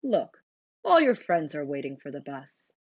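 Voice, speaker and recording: A woman voicing a calm, reassuring father turtle in a children's storybook, in a steady, soothing way. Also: deep voice, measured pace, husky, no echo, dull audio, voice pushed down, exaggerated voice.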